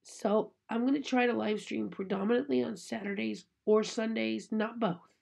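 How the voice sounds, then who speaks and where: A woman's voice: fairly high pitch, fairly even intonation, moderate pace, creaky, a little mellow. Congested, nasal woman vlogging. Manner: chatty, apologetic. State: sick with a cold, congested. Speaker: unboxing vlogger. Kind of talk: casual chat to camera. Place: small room indoors. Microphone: webcam at desk.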